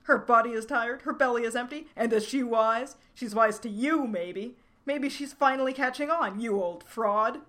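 A woman's voice: sing-song, a little slow, hardly any pauses, a bit bright, exaggerated voice. A woman voicing a tiny furious male yam creature who rants indignantly. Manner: shrill, indignant, ranting.

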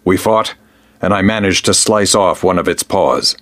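A man reading a wounded, deferential knight in a low, grave manner. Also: normal speed, a bit bright.

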